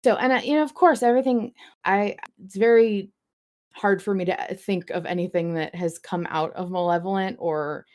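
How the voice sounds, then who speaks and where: A woman's voice: mid-range voice, normal speed, a little mellow. A woman co-hosting a podcast, talking casually through her thoughts on a story. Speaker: podcast co-host. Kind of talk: casual discussion of a fiction podcast.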